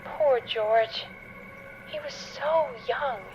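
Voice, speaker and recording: A woman playing an inquisitive, incredulous radio producer in a video game, questioning and quick to react. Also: high-pitched, lo-fi sound, noisy background. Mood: curious, concerned.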